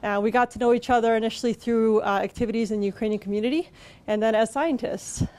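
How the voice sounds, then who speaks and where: A woman introduces the next speaker in a chatty, filler-heavy way. Her voice sits a bit high. She speaks into a handheld microphone in a store's event room before a small audience. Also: fast talker, mellow. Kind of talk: introduction at a public talk. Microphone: handheld mic.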